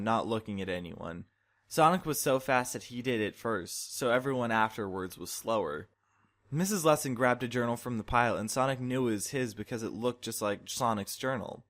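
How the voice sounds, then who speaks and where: Guy reading fanfic out loud, playing up the drama like a storybook narrator. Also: medium pitch. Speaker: fan fiction reader.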